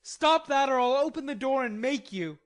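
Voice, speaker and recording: Indignant, defiant young gentleman in a storybook, put on by a woman; higher and tenser than her own voice. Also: normal speed, lightly acted. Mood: threatening. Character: hot-tempered proud young gentleman.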